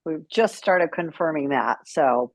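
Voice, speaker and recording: A woman's voice: low voice, unhurried, no room sound. A woman in her sixties chatting casually as an interview guest, on slightly lo-fi audio. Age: 60s. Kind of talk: casual chat.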